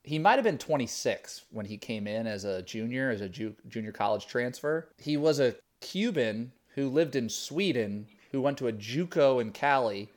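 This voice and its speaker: A man co-hosting a podcast, recalling stories in an animated, jokey casual chat. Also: mid-range voice, normal speed, few pauses. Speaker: podcast co-host.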